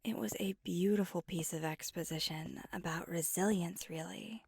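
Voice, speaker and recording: A woman playing a flirty, teasing, affectionate vampire on a date in an intimate ASMR roleplay, speaking softly and often dropping to a whisper. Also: fairly low pitch, gravelly, breathy edge, dry recording. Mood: earnest, reflective. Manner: playful, teasing, slow and intimate. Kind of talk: ASMR roleplay, intimate one-sided dialogue.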